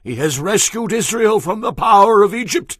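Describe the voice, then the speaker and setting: Older male priest for audio Bible drama: earnest, declamatory, highish pitch, very animated. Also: normal speed, dry recording, studio quality. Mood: joyful praise. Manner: declamatory, exhorting. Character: earnest, emphatic old male priest and father-in-law.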